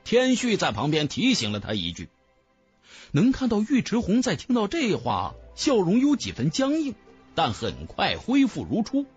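A man's voice: high-pitched, huge pitch swings, normal speed, breathy edge, muffled recording. A man narrating a fantasy novel as a dramatic scripted audiobook. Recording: music bed under the voice.

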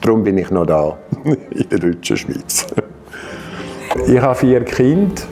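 Gravelly older Swiss man, French accent. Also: fairly low pitch, big pitch swings, over music. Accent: French-speaking Swiss. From Geneva, Switzerland. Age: 60s. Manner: halting, frequent hesitations and restarts. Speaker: patient sharing his experience. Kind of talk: personal account to camera. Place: bright studio room, indoors.

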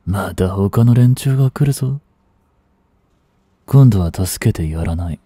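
A man acting as a cynical, aloof young street delinquent in a voice drama, with rough, casual, lazily teasing speech, close to the mic. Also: fairly low pitch, expressive, a little slow, mellow, no echo. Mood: aloof, dismissive. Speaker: voice actor. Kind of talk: Japanese voice drama aimed at women, one-sided dialogue. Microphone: close studio mic. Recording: dry close-miked studio recording.

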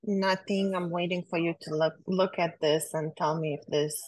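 A woman consulting an advisor over a video call, answering in brief, quick affirmations. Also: lowish voice, fairly even intonation, a little mellow, low-quality recording. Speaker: client seeking advice.

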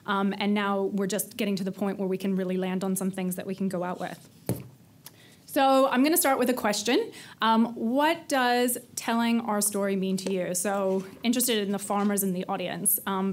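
Canadian woman, conference presenter, quick talker, lots of ums, big hall. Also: medium pitch. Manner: fast-talking, many ums, self-deprecating jokes. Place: large hall with stage and audience.

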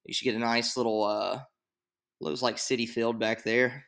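Rambling young man with a bright voice. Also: mid-range voice, level tone, normal speed, creaky. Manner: rambling, filler-heavy, thinks aloud. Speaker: fantasy league tutorial maker. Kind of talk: casual screen-share tutorial. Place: small room indoors.

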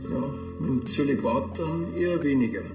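A man's voice: mid-range voice, moderate pace, echoey room, over loud music, lightly acted. An actor playing a blunt, sarcastic country policeman with a Bavarian accent and a dry, needling manner.

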